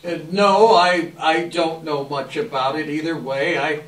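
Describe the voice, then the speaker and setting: A man's voice: on the high side, steady tone, measured pace, a bit bright. A man playing a hesitant, indifferent Victorian businessman in readers theater, stammering and offhand. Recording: echoey room, distant microphone.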